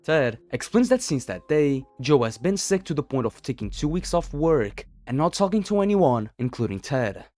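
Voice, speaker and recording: A man's voice: on the high side, big pitch swings, normal speed, hardly any pauses, darkish tone, soft background music. A man narrating a scripted horror story as a video narrator, in a dramatic storytelling manner.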